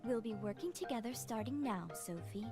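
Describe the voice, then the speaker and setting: A woman voicing a calm, formal, knowledgeable talking book that mentors an apprentice in an anime-style video game. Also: on the high side, moderate pace, close to an everyday voice. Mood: composed. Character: composed, polite female talking magic book, knowledgeable mentor. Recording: music behind the voice. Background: game music.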